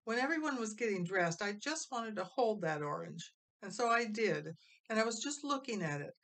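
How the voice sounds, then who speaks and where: A woman's voice: medium pitch, lively intonation, normal speed, fairly bright tone, pitched above the performer's own voice. A woman voicing a guilty young boy in a storybook, tearfully blurting out a pleading, folksy confession.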